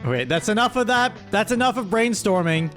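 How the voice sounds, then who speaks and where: High-pitched exasperated male streamer. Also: big pitch swings, brisk, a bit bright, faint music behind. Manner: exasperated, playfully scolding. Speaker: streamer host. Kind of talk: casual comedic commentary, reading viewer submissions aloud.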